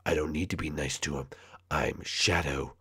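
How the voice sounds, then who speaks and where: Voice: very deep voice, steady tone, normal speed, a bit bright, slightly rough, pitched below the performer's own voice, full character voice. A man voicing a menacing, boastful hedgehog with a curt, threatening growl in an amateur comedy skit. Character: Shadow, menacing boastful male hedgehog, cool and surly.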